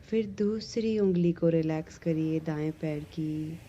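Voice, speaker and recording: Female meditation guide. Very slow, soothing, mellow delivery with long pauses; slightly muffled recording. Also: deep voice, slight background noise. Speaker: yoga nidra meditation instructor.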